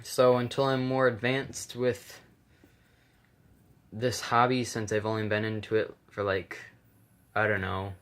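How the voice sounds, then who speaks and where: A man's voice: fairly low pitch, little pitch movement, a little slow, pauses often, fairly bright tone. A man giving a casual, unscripted hands-on walkthrough, hesitant and rambling, often correcting himself. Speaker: hobbyist vlogger. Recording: noisy handheld camera recording, clicks.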